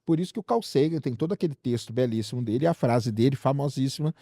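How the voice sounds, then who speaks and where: A man's voice: medium pitch, animated, fast talker, dark tone, no echo, studio quality. A man with a Brazilian accent hosting a casual science chat, addressing the audience with rhetorical questions and everyday examples. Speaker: science show host.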